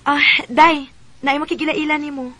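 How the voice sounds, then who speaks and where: An actress playing a chatty, teasing young friend in a radio drama, with a Cebuano Filipino accent. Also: female voice, high-pitched, steady tone, normal speed, fairly bright tone, faint music behind. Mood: introducing.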